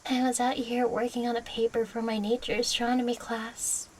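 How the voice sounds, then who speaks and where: A woman voicing a playful, affectionate young catgirl girlfriend in an audio roleplay, teasing and coy, speaking closely to the listener with a breathy voice. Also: a little high, level tone, normal speed, a little hiss or hum, lightly acted. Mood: tender, nostalgic.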